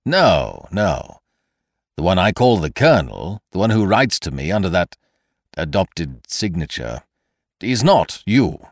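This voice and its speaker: Man playing a nervous, imperious rich gentleman, low-voiced. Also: very animated, unhurried, dry recording, studio quality, heavily acted. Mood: alarmed, demanding. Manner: curt, imperious, agitated. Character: wealthy, nervous, commanding man growing alarmed at an intruder.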